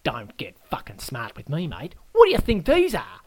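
A man's voice: sits high, big pitch swings, moderate pace, a bit bright, a little hiss or hum, pitched above the performer's own voice, full character voice. A man voicing a blustering host who swears and mocks his guest. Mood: mocking. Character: loud brash Australian male host, bullying.

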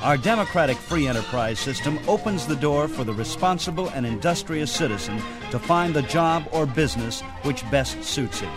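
A man's voice: on the high side, expressive, moderate pace, hardly any pauses, music in the background. A man with an American accent, a radio host reading a scripted sign-off and announcement.

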